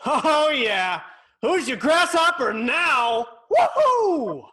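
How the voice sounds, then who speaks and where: Young sitcom boy, eager, earnest, slow-paced, lively intonation. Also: very high voice, heavily acted. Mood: gloating. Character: eager, cocky young boy wanting martial arts glory. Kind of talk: sitcom table read over video call.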